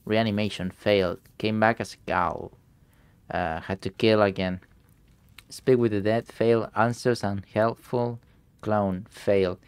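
A young man commentates his gameplay while sick, and the illness drags his voice lower than usual. His tone has a gravelly, fry-laden edge. He reads in-game notes aloud in halting bits, full of fillers, speaking English as a second language. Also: steady tone, no echo. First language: not English. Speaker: gameplay streamer. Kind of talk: let's play commentary.

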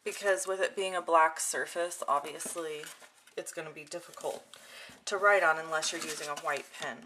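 Low-voiced woman giving a craft tutorial, noisy recording. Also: fairly even intonation, moderate pace, pushed and bright. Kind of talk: casual hands-on demonstration narration. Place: indoor craft desk. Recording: clear background noise with clicking.

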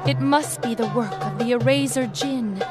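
Woman playing a high-voiced, earnest young genie girl. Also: normal speed, close to an everyday voice. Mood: solemn. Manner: formal, archaic, reverent phrasing. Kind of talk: video game cutscene acting. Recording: music under the voice.

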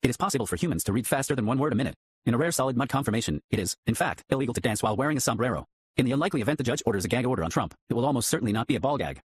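A man announcing a scripted mock disclaimer in a formal, deadpan manner. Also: medium pitch, racing, husky.

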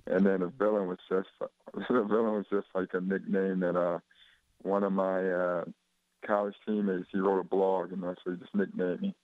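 A man's voice: low voice, little pitch movement, moderate pace, no echo. A man in his twenties from Chicago, a basketball player talking casually by phone, laid-back and rambling with lots of fillers. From Chicago, USA. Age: 20s. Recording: dull phone line.

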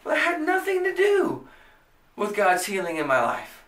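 A man's voice: extremely high pitch, wildly swooping pitch, moderate pace, fairly bright tone, reverberant, recorded from a distance. A man in his thirties with an American accent, preaching a podcast sermon with earnest emphasis. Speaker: pastor. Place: small indoor room before a church banner.

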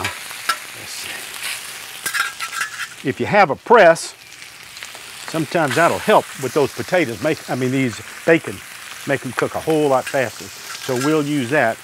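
A man's voice: on the high side, noisy background. An older man hosting a cooking demonstration, talking in an easygoing, homespun way while he works at a griddle on an outdoor deck. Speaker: cooking show host. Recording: outdoor recording with loud griddle sizzle.

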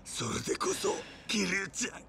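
An actor playing a manic, battle-hungry yakuza boss with a Kansai accent, taunting and gleeful in a video game. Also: male voice, moderate pace, slight background noise, lightly acted. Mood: strained admiration. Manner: drawling, taunting, erupting into shouts. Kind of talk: theatrical video game acting.

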